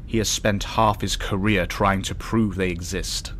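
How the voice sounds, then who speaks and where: A thoughtful starship doctor, acted by a man with a deep voice and a calm, measured delivery. The lines are reflective sci-fi drama dialogue over a faint rumble of noise. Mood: cautious. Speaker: actor in a science-fiction fan drama.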